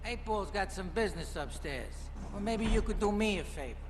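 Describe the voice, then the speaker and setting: A man's voice: high-pitched, animated, a little fast, in a large hall, a crowd in the background. A man playing a sleazy, menacing mobster club boss in a video game, bossy and streetwise, giving orders. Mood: bossy, businesslike. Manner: bossy, streetwise wise-guy talk. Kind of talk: video game cutscene acting.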